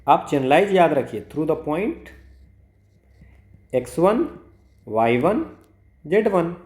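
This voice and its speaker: A man's voice: on the high side, big pitch swings, slow pace, a little mellow. A man working through exam math problems step by step, mixing Hindi and English and asking and answering his own questions. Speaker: exam prep math teacher. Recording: echoey room.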